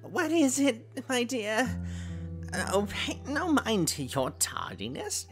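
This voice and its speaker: Guy doing a wolf faking a frail old granny, croaky n weak, fairytale audio play, little music under it. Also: extremely high pitch, huge pitch swings, slow, exaggerated voice. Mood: feigned frailty. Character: wolf posing as frail, ailing old grandmother. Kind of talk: audio drama fairy tale acting.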